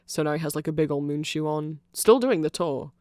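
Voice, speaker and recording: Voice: medium pitch, a little fast, darkish tone, no echo. A person giving an informal, rambling ASMR-style explanation full of asides, close to the microphone. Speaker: ASMR audio creator.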